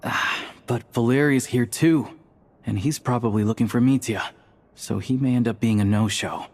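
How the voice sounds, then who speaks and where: A man playing a calm, courteous, reassuring accomplice in a video game, speaking in a composed and measured way. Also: medium pitch, natural voice. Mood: thoughtful. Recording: music under the voice.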